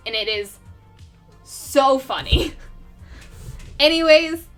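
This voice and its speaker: A young woman talks casually to her stream viewers in a bright, lightly raised voice. Her talk rambles, packed with fillers, and laughter often breaks in. Soft music plays faintly behind her. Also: sits high, lively intonation, very slow. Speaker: artist streamer. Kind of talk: casual livestream chat with viewers. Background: faint background music.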